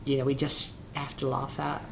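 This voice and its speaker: A man's voice: medium pitch, monotone, gravelly. Man, interview guest, hesitant, restarts often, noisy background. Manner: halting, with many restarts. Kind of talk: casual interview.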